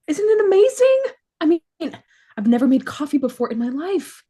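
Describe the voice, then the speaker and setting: Woman acting a naive, lively high-voiced young woman. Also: normal speed. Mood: proud, excited. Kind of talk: table read of a sitcom script.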